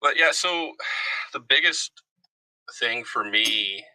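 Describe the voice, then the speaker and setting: A man's voice: mid-range voice, lively intonation, slow, sharp tone, dry recording, low-quality recording. A man speaking as a guest in casual conversation, candid and reflective about himself. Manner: reflective, self-revealing. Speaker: guest, retired army veteran. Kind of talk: candid casual personal talk.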